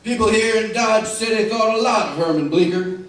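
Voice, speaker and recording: A man playing a mild, hesitant but firm frontier marshal with a halting, folksy manner in an old-time radio western. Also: high-pitched, fairly bright tone. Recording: very echoey room, distant mic.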